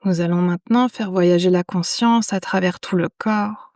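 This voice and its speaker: A woman guiding a yoga nidra meditation in a soothing, unhurried manner, leaving long pauses between phrases. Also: on the low side, fairly even intonation, darkish tone, no room sound, studio quality. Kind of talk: guided meditation.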